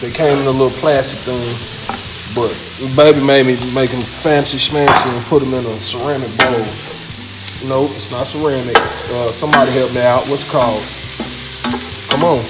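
A man's voice: on the high side, heavy background noise. A man in his thirties hosting a home cooking video, talking casually and joking with viewers as he cooks. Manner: relaxed, joking, talks straight to viewers. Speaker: home cooking show host. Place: large home kitchen indoors. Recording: echoey kitchen with loud music. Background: music and sizzling pan.

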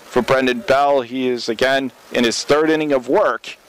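A man's voice: medium pitch, fairly even intonation, moderate pace, low-quality recording. A man calling a baseball game live as a radio play-by-play commentator. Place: open-air baseball stadium. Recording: clear outdoor ballpark ambient noise.

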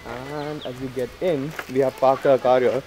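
A man's voice: mid-range voice, steady tone, moderate pace, darkish tone, a little hiss or hum, poor audio. A young man in his twenties with an Indian English accent, chatting to viewers in a casual travel vlog, filmed outdoors on a handheld camera. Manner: chatty, addresses viewers as guys, frequent uh fillers. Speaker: travel vlogger. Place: outdoors at a beach campsite. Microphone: camera mic, handheld selfie framing.

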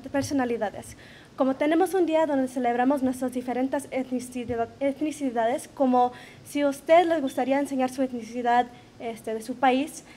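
A teenage girl, a student mentor, giving an earnest prepared speech to parents from a podium, pausing often on filler words. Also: sits high, darkish tone, slight background noise. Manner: earnest, many hesitations with "este". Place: school hall at a podium. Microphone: podium microphone.

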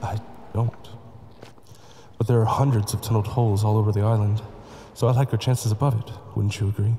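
A man's voice: lowish voice, steady tone, brisk, warm tone, a little hiss or hum, close to an everyday voice. A man playing a determined, level-headed plane-crash survivor in an audio drama, speaking steadily and earnestly. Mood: practical, calm.

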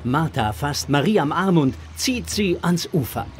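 A man's voice: on the high side, animated, a little slow, music in the background. A man narrating a scripted audio drama for children.

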